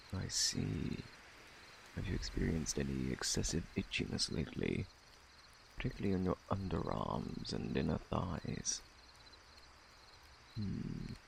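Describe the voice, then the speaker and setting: A man with a British accent playing a polite, evasive, faintly sinister plague doctor in an ASMR roleplay, speaking softly in a formal, fussy, self-assured way with a gravelly, partly whispered voice. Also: low-pitched, a little hiss or hum, heavily acted, low-quality recording. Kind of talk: ASMR roleplay, soft close speech.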